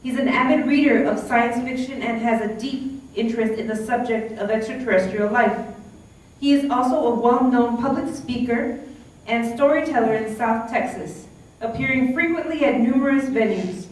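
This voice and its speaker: A woman's voice: on the high side, normal speed. A woman hosting an event, formally reading out a speaker's introduction. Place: lecture hall. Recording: echoey hall, distant, faint hiss.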